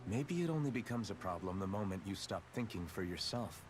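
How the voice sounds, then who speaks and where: A man voicing a calm young swordsman in a video game, speaking in a steady, reassuring and level-headed way. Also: sits low, fairly quick. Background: game music.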